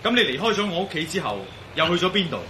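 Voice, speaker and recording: An actor playing an inquisitive investigator questioning people in a radio drama. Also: male voice, sits high, big pitch swings, fast talker, background noise. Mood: puzzled probing. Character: probing male investigator questioning witnesses. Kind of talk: Cantonese radio drama acting.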